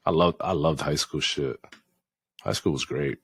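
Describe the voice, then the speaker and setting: A young father co-hosting a podcast in casual chat, swinging from low muttering to sudden shouts and swearing a lot. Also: measured pace, slightly rough, no room sound. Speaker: podcast co-host.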